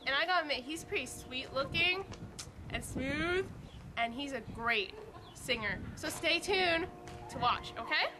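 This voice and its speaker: Young female presenter, high voice, chatty amateur announcement, sounding far off beneath clear music. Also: lively intonation, unhurried. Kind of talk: casual amateur entertainment announcement.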